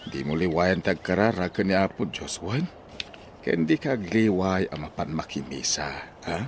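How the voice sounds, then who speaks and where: A man with an Ilocano accent playing a gentle, devout father advising his child in a radio drama. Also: sits low, sing-song, moderate pace, a little hiss or hum. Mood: tender.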